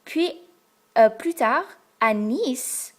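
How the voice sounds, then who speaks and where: A young American woman from Texas, a French learner speaking to the camera in a careful, hesitant way with many pauses and fillers. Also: on the high side, animated. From Texas, United States. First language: English. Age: young adult. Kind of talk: casual talk to camera. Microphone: camera in front of her.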